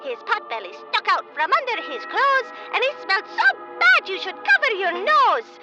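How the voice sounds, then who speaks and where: A woman's voice: very high voice, sing-song, normal speed, over loud music. A woman voicing a bossy, eager little alien girl with childlike teasing in a comedic audio drama. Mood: proud reciting.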